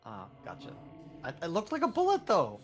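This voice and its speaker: A man with a slightly high, extremely animated voice chats casually as a co-commentator on a game stream. Faint music plays behind him. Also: fairly quick. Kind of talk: casual chat.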